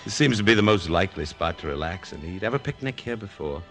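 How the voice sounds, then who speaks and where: An actor playing a troubled doctor, genial but edgy, in a 1940s radio drama with a casual, wry way of talking. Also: male voice, low-pitched, animated, fast, fairly bright tone. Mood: wry, playful. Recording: old radio broadcast, music behind.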